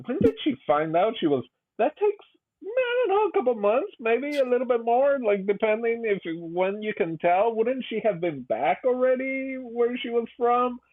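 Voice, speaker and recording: A man's voice: extremely high pitch, very animated, moderate pace, no echo. Tinny-sounding man rambling about a film. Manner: rambling, laughing, many "like" fillers. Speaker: podcast co-host. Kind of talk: casual movie review chat.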